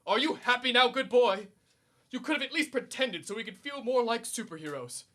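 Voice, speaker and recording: A man's voice: very high-pitched, expressive, a little fast, bright, forceful tone, full character voice. A young man playing a pompous, easily flustered suburban superhero in a comedic radio serial, declaiming grandly. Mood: defensive, wounded. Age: young adult. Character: pompous, needy, suburban amateur male superhero.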